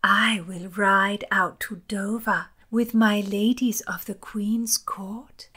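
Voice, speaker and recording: A woman performs a young Swedish noblewoman in a historical audiobook, pitched high and girlish. She is naive and gushing, stretching out her breathy, excitable phrases.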